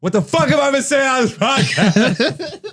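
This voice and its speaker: A man's voice: very high voice, very animated, normal speed, fairly bright tone. A man hosting a comedy podcast, ranting casually and brashly with lots of swearing and laughing through his words. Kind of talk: casual comedic rant and chat.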